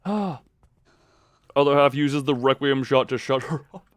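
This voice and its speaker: A man's voice: medium pitch, a little slow. A man doing casual live video game commentary, rambling playfully to his viewers and repeating himself as he exclaims.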